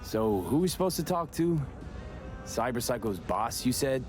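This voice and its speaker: A man's voice: medium pitch, big pitch swings, normal speed, darkish tone, faint music behind, natural voice. An actor playing a tough male street mercenary in a futuristic video game, terse and blunt in his delivery. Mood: businesslike. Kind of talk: naturalistic video game acting.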